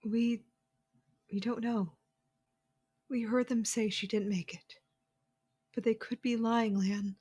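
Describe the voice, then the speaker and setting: A woman's voice: a little high, steady tone, slow pace, pauses often, dark tone, husky. A woman playing an angry, shaken young warrior in a dramatic first-person audio drama. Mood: distressed, bewildered.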